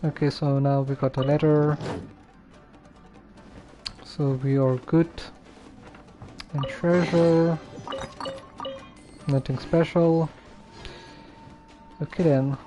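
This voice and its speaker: A man giving casual live gameplay commentary, halting and muttering to himself as he plays. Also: on the high side, little pitch movement, dark tone. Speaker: game streamer. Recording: faint game music underneath.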